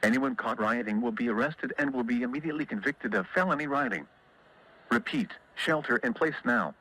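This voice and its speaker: A man's voice: medium pitch, expressive, brisk, pushed and bright, low-quality recording. A man formally reading a scripted emergency alert as an announcer.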